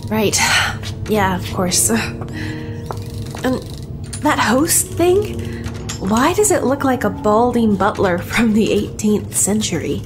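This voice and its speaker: A distracted female junior engineer, played by an actress, speaks slowly and apologetically, hesitating as she goes. Music plays clearly behind her in a sci-fi radio play. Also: medium pitch, expressive. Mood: sheepish, uneasy. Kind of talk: sci-fi audio drama acting.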